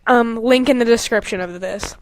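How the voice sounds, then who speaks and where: A young woman hosting a podcast, casually reading aloud and mocking her own writing in an animated, sweary, giggly way. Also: a little high, normal speed, a little hiss or hum, low-quality recording. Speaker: podcast host. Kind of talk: casual comedic commentary while reading own old fanfiction aloud.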